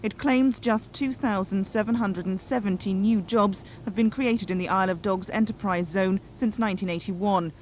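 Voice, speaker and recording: A woman's voice: mid-range voice, moderate pace, hardly any pauses, a little mellow, a little hiss or hum, low-quality recording. A woman narrating a scripted television news report.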